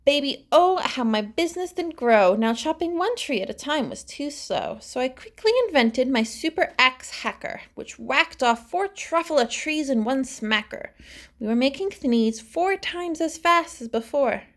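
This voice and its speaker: A woman's voice: high voice, animated, a little slow. A woman voicing a greedy, boastful male entrepreneur in a rhyming storybook, breezy and self-assured like a salesman. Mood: gleeful, boastful. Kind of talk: rhyming storybook character narration.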